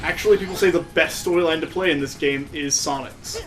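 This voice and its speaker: A man's voice: on the high side, a little fast. A man hosting a let's play with casual, sarcastic and amused griping commentary on a video game.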